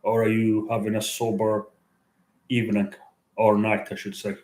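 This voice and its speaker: A man livestreaming at night, chatting in a hesitant, halting way with many restarts and fillers. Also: fairly low pitch, completely flat delivery, a little mellow, echoey room. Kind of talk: late-night street livestream chat. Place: out at night in a city.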